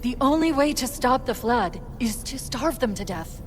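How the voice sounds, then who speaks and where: A woman playing a sharp-tongued, defiant female artificial intelligence in a video game, sarcastic, biting and emphatic. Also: fairly high pitch, lively intonation, moderate pace, in a large hall, slight background noise, natural voice. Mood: angry, emphatic. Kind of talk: video game cutscene acting.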